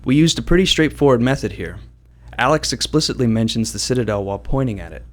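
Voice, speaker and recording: A man's voice: medium pitch, expressive, fast. A man giving scripted developer commentary on how a video game was designed.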